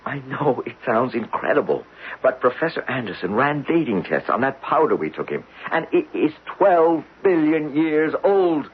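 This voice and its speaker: An American actor in a 1970s radio drama, playing an earnest, desperate geologist who pleads insistently in a husky voice. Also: male voice, medium pitch, big pitch swings, moderate pace. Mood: earnest, excited. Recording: muffled lo-fi 1970s radio recording.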